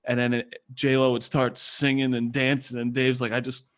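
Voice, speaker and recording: A man co-hosting a sports podcast, telling stories in casual, animated banter and swearing freely. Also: medium pitch, moderate pace. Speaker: podcast co-host.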